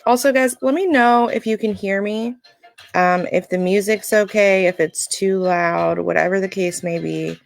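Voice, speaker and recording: A woman with a scratchy voice hosting a live stream, giving a casual spiritual card reading in an informal, halting, explanatory way. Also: mid-range voice, vocal fry, dry recording. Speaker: live-stream oracle card reader and host. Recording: music playing during a live stream.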